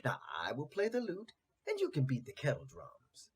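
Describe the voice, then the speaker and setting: Man voicing a kindly, lively storybook donkey. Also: on the high side, normal speed, husky, exaggerated voice. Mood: kindly, coaxing. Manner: coaxing, friendly. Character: kindly, upbeat male donkey, reassuring friend.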